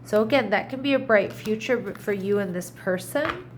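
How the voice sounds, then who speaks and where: A woman's voice: mid-range voice, lively intonation, normal speed, slight background noise. Chatty, slightly congested woman tarot reader. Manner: chatty, reassuring, thinks aloud with restarts. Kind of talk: casual tarot reading to camera.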